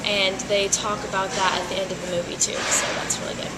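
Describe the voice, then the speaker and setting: A woman acting as an author in a school mock interview, talking in a halting way with many fillers. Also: medium pitch, flat delivery. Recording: loud noisy amateur recording.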